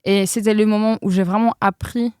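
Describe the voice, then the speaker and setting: A woman's voice: medium pitch, steady tone, brisk, warm tone, clear, resonant tone, no room sound, clean studio sound. A young woman, not a native French speaker, telling her exchange-study story in a casual interview, hesitant with frequent fillers. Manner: hesitant, many fillers, laughs often. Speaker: interview guest.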